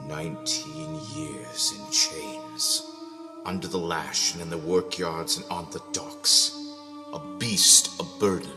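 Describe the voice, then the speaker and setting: A man playing a bitter, weary ex-convict who recalls his life in a brooding, halting dramatic monologue. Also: rumbling low. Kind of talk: dramatic first-person audio drama monologue. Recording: long reverb under prominent music. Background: music.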